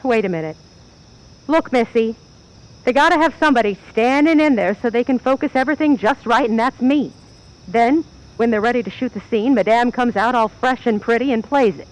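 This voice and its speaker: A woman's voice: a little high, brisk, natural voice, low-quality recording. A woman playing a brassy, plain-spoken film stand-in who schemes and confides in an old-time radio drama. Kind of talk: old-time radio drama recreation, dialogue and confessional narration.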